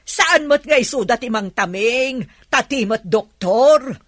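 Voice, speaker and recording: A woman's voice: on the high side, animated, measured pace, no echo, clean studio sound. A woman with an Ilocano accent playing a stern, callous, sarcastic boss in a radio drama. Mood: dismissive. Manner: scolding, sarcastic.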